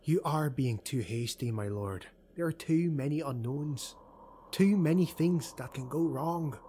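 A man narrating an audiobook, voicing a shrewd, cautious elf woman warrior who talks in a measured, thoughtful, persuasive way. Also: a little high, warm tone, slight background noise, natural voice.

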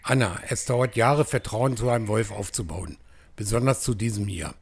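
A man playing a stern, protective forest huntsman in a fantasy audio drama, with a gruff, scolding manner. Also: on the low side, moderate pace. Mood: cautious.

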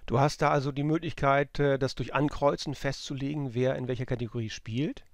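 A man in his fifties hosting an online coaching course, calmly asking follow-up questions in a video call over a headset. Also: medium pitch, fairly quick, no echo. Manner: calm, asks follow-up questions. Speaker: interviewer, course host. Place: small room indoors.

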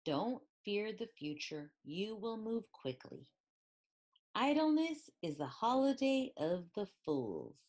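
Slow, swooping woman reading a storybook. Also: medium pitch. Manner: expressive, deliberate reading with questioning lilts. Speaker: storybook reader. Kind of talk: picture book read-aloud.

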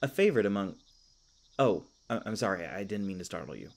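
A man's voice: low voice, sing-song, a little fast, creaky, heavily acted. A man playing a flustered, skittish young elf park ranger in an audio roleplay, stammering and awkward with goofy folksy exclamations. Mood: awkward, friendly.

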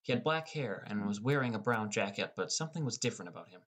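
A man's voice: on the low side, expressive, fast talker, fairly bright tone. Young man narrating a first-person story. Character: depressed, irritable high school boy telling his story. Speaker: audiobook narrator.

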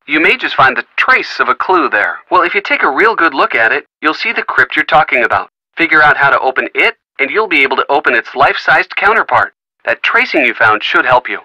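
A man's voice: fairly high pitch, pushed and bright, no room sound, full character voice, poor audio. An actor playing a friendly man in a video game who gives folksy puzzle hints with wry little asides. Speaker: hint-giving game character. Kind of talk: recorded video game dialogue.

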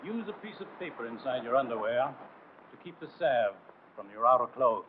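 A man's voice: mid-range voice, expressive, a little fast. A man narrating a 1940s military training film in a formal, authoritative, didactic and emphatic scripted style. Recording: noisy, tinny 1940s film soundtrack.

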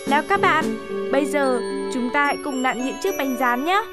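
A woman's voice: sits high, animated, normal speed, over loud music. A woman voicing a cheery bear who presents a cartoon cooking show in a friendly, step-by-step manner. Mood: friendly, instructive.

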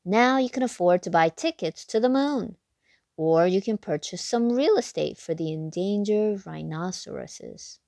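A woman hosting a read-aloud, reading a children's picture book expressively, with big excited leaps in pitch. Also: measured pace, vocal fry, no echo, studio quality.

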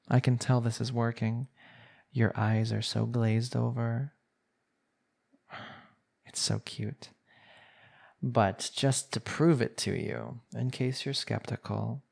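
A man performing an ASMR roleplay as a smug, coaxing young villain hypnotist, speaking in a soothing, deliberate way. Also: mid-range voice, vocal fry, darkish tone. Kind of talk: ASMR roleplay acting, slow hypnotic monologue.

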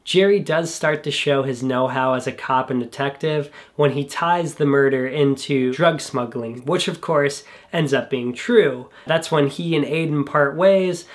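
A young man reviewing films casually in a small bedroom studio, sounding a little congested from a cold, relaxed and enthusiastic with frequent fillers. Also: a little high, measured pace, hardly any pauses. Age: young adult. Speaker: film reviewer.

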